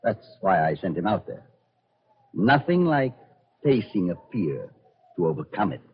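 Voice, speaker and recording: Domineering, swooping Nazi colonel. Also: male voice, deep voice, sing-song, measured pace, heavily acted. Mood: cool, dismissive. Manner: barking, dismissive, commanding. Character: domineering, scornful Nazi colonel, male, callous. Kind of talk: 1940s radio acting. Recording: noisy, dull old radio recording.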